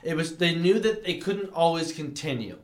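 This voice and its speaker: A man co-hosting a casual podcast chat, explaining his points at length in a relaxed, explanatory way. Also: on the high side, moderate pace. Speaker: podcast co-host.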